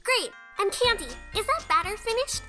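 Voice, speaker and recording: Exuberant, squeaky cartoon pony mom. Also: female voice, lively intonation, normal speed, over music. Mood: frantic cheer. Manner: hectic, exuberant. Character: exuberant, bossy pink pony mother running a bakery. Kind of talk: cartoon-style audio drama acting.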